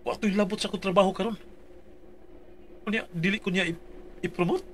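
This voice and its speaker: A man's voice: high voice, fairly even intonation, brisk, fairly bright tone, a little hiss or hum. A man reading out his dramatized complaint letter for a radio advice show, earnest and pleading.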